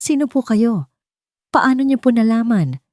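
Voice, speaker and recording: A woman's voice: fairly high pitch, expressive, a little slow, dark tone, no echo, studio quality, voice pushed up. A woman voicing a wronged housemaid, polite and pleading, in an audio drama. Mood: desperate, pleading. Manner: pleading, deferential.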